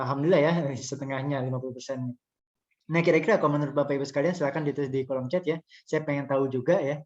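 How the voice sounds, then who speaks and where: A man's voice: a little high, muffled recording. A man moderating an online religious study session, talking to the audience with lots of "eh" fillers. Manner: chatty, many "eh" fillers, addresses audience. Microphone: online video call.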